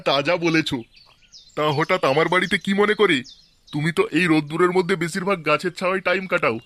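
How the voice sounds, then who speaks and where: A man voicing excitable village fathers and neighbours in a comic Bangla cartoon, chatty and theatrical. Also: sits high, slight background noise.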